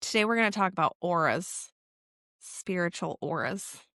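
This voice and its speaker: Animated, teasing woman podcast co-host. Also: fairly low pitch, moderate pace, no echo, studio quality. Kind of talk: casual chat.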